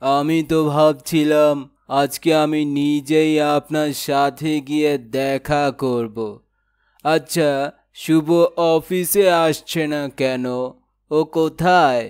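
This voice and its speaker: A man playing a concerned, puzzled office boss with a deliberate, formal way of talking. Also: on the high side, fairly even intonation, a little mellow, smooth.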